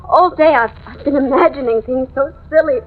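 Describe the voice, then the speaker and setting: An actress playing a frantic, paranoid young housewife in a 1950s radio horror drama, shrill and tearful, with halting, pleading speech. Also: female voice, close to an everyday voice. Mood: shaky relief. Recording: muffled old radio recording.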